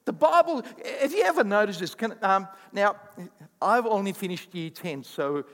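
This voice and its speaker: A man preaching a sermon as pastor, speaking English as a second language, with emphatic rising bursts and quick asides. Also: high voice, animated, in a large hall. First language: not English.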